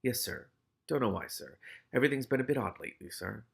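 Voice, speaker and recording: Man voicing a wily cat, lively and sardonic. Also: mid-range voice, vocal fry, slightly rough, full character voice. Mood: uneasy, polite. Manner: quick-fire, hedging, sardonic. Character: wily talking male cat, streetwise, grumbling, anxiously deferential.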